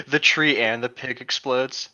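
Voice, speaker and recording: A man's voice: mid-range voice, pushed and bright, no room sound. A man chatting casually as a podcast co-host, slangy and crude in his joking, on a lo-fi microphone. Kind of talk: casual chat.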